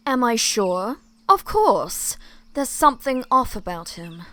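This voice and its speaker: A woman's voice: fairly high pitch, very animated, slow, bright, forceful tone, dry recording, heavily acted. A woman playing a suspicious, secretive supernatural ally, serious and matter-of-fact, in amateur roleplay acting.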